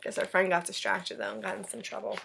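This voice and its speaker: A woman's voice: medium pitch, sing-song, quick pace, vocal fry, background noise. A woman reading a storybook aloud as a teacher, adding chatty, encouraging asides in simple words for children. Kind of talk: animated storybook read-aloud with casual asides.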